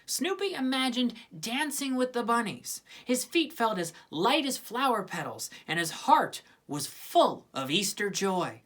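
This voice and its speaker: A woman narrating a children's storybook in an expressive scripted reading style. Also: on the high side, unhurried, hardly any pauses. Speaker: storybook reader.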